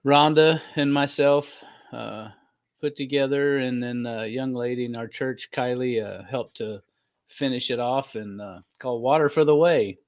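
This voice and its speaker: A man's voice: medium pitch, measured pace. Folksy man chatting casually as co-host. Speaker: podcast co-host. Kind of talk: casual chat.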